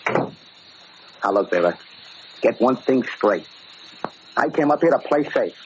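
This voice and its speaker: An actor playing a paranoid, bullying gangster in a gruff, slangy, commanding way, in 1940s radio drama style. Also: male voice, mid-range voice, lively intonation, takes it slowly. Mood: wary, edgy. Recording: noisy, muffled old-time radio recording.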